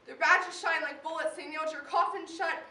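A young female slam poet recites with solemn intensity and measured phrases. Her pitch is very high and her tone bright. The recording is echoey, as if from across the room. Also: fairly even intonation. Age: teen or young adult. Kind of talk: spoken word slam poetry performance. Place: live poetry event in a room. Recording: echoey room, distant mic, faint noise.

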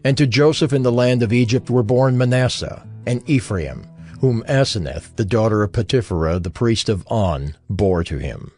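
A man narrating scripture in a solemn, deliberate way for a dramatized audio Bible. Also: medium pitch, animated, darkish tone, soft background music.

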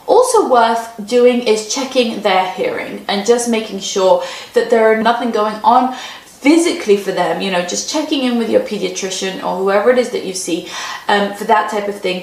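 Roomy-sounding woman coaching parents. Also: medium pitch. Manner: conversational, often adds "right?" tag questions. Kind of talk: advice talk answering a parent's question. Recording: roomy echo.

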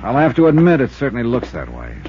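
American hard-boiled investigator, wry, clipped, animated intonation, 1950s radio drama, dull old recording. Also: male voice, mid-range voice, fast, a little hiss or hum. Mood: probing, firm. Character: shrewd, wry male insurance investigator narrating his case. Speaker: star of a radio detective series. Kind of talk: 1950s radio drama acting and first-person narration. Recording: muffled vintage 1950s radio recording, music behind.

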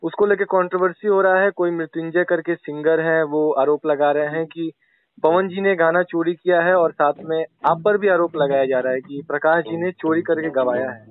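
A male reporter with a slightly high voice talks through a narrow, dry telephone connection. He puts his questions informally but politely, with a deferential way of addressing the person he interviews. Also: fairly even intonation, moderate pace, dry recording. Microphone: phone call. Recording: tinny, dry phone-call line.

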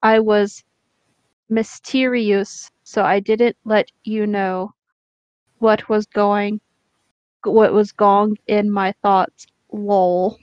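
A woman bantering casually and reading aloud haltingly as a co-host among friends. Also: mid-range voice, flat delivery, a little mellow, smooth. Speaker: co-host reading bad fan fiction aloud. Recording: dull, roomy home recording.

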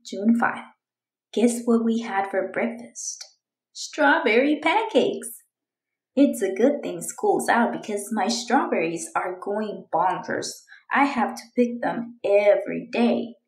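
A woman's voice: medium pitch, expressive, slow pace, echoey room. A woman voicing an eager, dramatic young girl who chats and exclaims through her journal in a children's storybook. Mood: excited. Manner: exclamatory, chatty, dramatic announcements. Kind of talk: children's storybook read-aloud.

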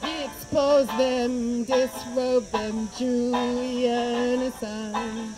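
A woman's voice: fairly high pitch, steady tone, extremely slow, rough recording. A woman, an amateur musician, informally singing and chatting in a folk style. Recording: voice under loud banjo music. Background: loud banjo music.

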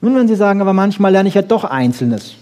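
A man giving a lively popular science lecture, emphatic, with rhetorical questions and short punchy asides. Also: high voice, quick pace. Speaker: lecturer on brain research.

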